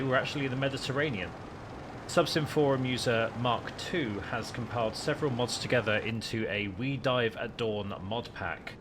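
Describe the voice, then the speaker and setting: A man's voice: mid-range voice, background noise. A man, hosting and commentating on a game playthrough. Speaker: host of a game playthrough channel.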